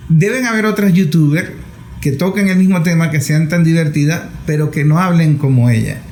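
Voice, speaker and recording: Echoey, slightly high-voiced man. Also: a little fast, a little mellow. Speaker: interviewer. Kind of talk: conversational interview with personal anecdotes. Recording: echoey room with faint noise.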